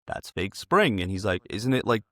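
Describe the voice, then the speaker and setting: A man's voice: on the low side, very animated, normal speed, sharp tone, no room sound, clean studio sound. An American man hosting a casual interview, talking in a chatty, informal and wordy way. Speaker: interview host.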